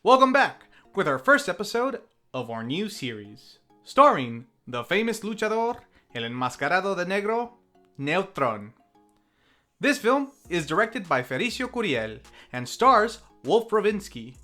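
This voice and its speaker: A review host narrating from a script, with theatrical flourishes and wry deadpan asides. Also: medium pitch, unhurried, faint music behind. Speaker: host of a film review series.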